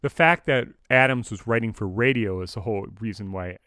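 A man co-hosting a podcast, chatting casually in a measured, explanatory way. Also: lowish voice, sharp tone, no echo, clean studio sound. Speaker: podcast co-host. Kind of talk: casual chat.

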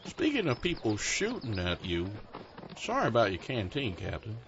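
A man's voice: lowish voice, huge pitch swings, moderate pace, fairly bright tone, slight background noise, full character voice. A man playing a humble, joking blind caretaker. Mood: folksy. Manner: folksy and self-deprecating. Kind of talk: audio drama acting. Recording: muffled lo-fi recording.

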